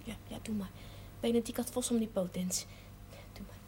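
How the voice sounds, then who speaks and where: A woman's voice: on the high side, steady tone, moderate pace, warm tone, close to an everyday voice. A Dutch actress playing a naive, plucky pregnant girl from a poor family, candid and childlike, sometimes dropping to a whisper, in a television drama. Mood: tender, childlike. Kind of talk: naturalistic 1970s television drama acting. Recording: faintly noisy 1970s television soundtrack.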